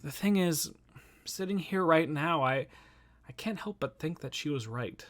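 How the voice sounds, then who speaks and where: A man plays a nervous young nonbinary film fan in a stage monologue. The voice sits fairly high for a male one. The speech rambles and stumbles over itself, self-deprecating throughout. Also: expressive. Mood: wry, self-deprecating. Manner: halting, rambling, self-interrupting. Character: anxious, self-deprecating nonbinary young moviegoer talking to a poster. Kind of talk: dramatic monologue reading.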